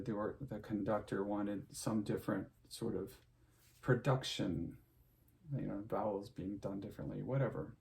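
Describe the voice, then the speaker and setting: Gravelly-voiced man rambling to camera. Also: on the low side. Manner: chatty, full of fillers and restarts, warm sign-off advice. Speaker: opera singer. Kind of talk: casual rambling monologue to viewers.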